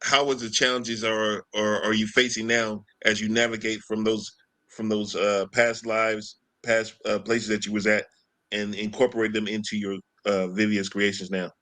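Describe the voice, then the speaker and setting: A man co-hosting a podcast interview, asking long, halting questions full of fillers and repeats. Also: lowish voice, level tone, bright, forceful tone. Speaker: podcast co-host.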